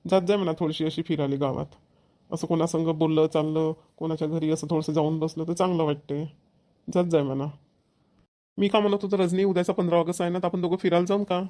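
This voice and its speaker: A man's voice: fairly high pitch, little pitch movement, quick pace, mellow, lightly acted. A man playing an easygoing, playful village husband in a cartoon, with a rural Maharashtrian accent. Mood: playful, coaxing. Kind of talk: cartoon family dialogue.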